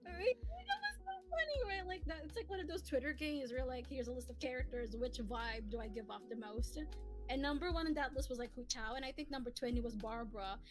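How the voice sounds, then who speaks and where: A woman hosting a casual livestream chat, talking in an animated, giggly, rambling way as a hobby voice actor. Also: high voice, fairly quick. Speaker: streamer and host who voices comic dubs. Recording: lofi music playing behind. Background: soft lofi background music.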